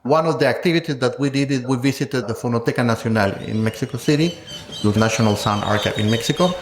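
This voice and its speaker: A man speaking as a guest scholar in an interview, measured and thoughtful, often ending points with a questioning "no?". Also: medium pitch, reverberant, noisy background. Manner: thoughtful, measured, tags statements with "no?". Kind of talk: conversational interview.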